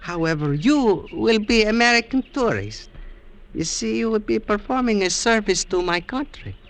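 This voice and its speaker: High-voiced, courteous Latin schemer. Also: male voice, animated, a bit bright. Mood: guarded, persuasive. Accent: Spanish-accented English. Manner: formal, deliberate, courteous. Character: scheming wealthy elderly Peruvian antiques collector, courteous and guarded. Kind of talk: 1940s radio drama acting. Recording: vintage 1940s radio broadcast.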